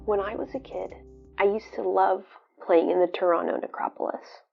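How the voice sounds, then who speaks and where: A woman's voice: medium pitch, fairly even intonation, moderate pace, gravelly, lo-fi sound, soft background music. A woman acting a storyteller who recounts her morbid childhood memories in a confiding audio drama monologue. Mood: wistful.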